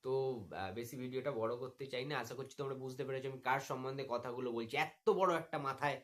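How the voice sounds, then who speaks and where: A man's voice: medium pitch, fairly even intonation, fast talker, a bit bright. A man with a Bengali accent delivering an indignant, mocking rant as a video commentator, full of rhetorical questions. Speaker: YouTube commentator making a reply video. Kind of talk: opinionated rant addressed to the camera.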